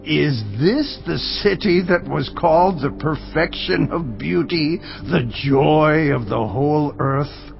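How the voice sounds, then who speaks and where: An actor playing a scornful passer-by mocking a ruined city in a dramatized scripture recording. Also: male voice, fairly high pitch, slow pace, few pauses. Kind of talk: dramatized scripture audio acting. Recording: muffled, with clear music behind. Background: music.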